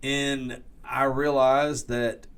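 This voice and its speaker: A man's voice: medium pitch, level tone, very slow, fairly bright tone, clear, resonant tone. A man in his thirties with a Southern accent, chatting casually on a podcast. He talks bluntly and jokes with crude words. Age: 30s. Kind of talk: casual chat.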